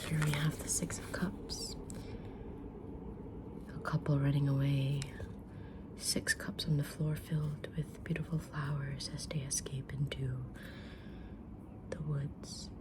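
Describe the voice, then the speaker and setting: A woman playing a kind, mysterious witch fortune teller in an ASMR roleplay, whispering gently and deliberately with pauses. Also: low voice, level tone. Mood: calm, mysterious. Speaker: ASMR roleplayer reading tarot cards.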